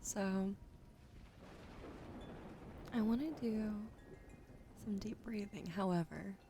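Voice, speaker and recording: A woman livestreaming ASMR in a soft, breathy whisper and hushed casual chat, with a slightly weak, wheezy throat, speaking gently to her viewers up close to the mic. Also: mid-range voice, slow pace, noisy background. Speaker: streamer hosting a live ASMR broadcast.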